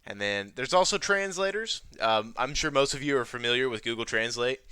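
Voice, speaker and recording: A man's voice: medium pitch, fairly quick, bright, forceful tone, clean tone, dry recording. A man presenting an educational tutorial in an informal, conversational way, often dropping in "you know". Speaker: presenter of an educational video on teaching ESL. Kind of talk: casual tutorial talk over a screen demonstration.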